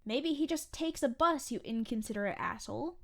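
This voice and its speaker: A woman's voice: on the high side, expressive, fairly quick. A young woman playing a sarcastic, grumbling eighteen-year-old waitress in a fiction podcast, snippy and sardonic. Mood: irritated. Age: young adult. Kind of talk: audio fiction podcast acting, conversational.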